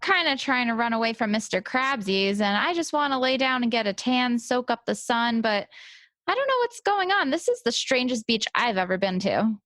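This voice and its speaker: A woman's voice: on the high side, very animated, moderate pace, fairly bright tone, no room sound. A woman podcast host improvising comedy as herself, conversational, in a bemused straight role.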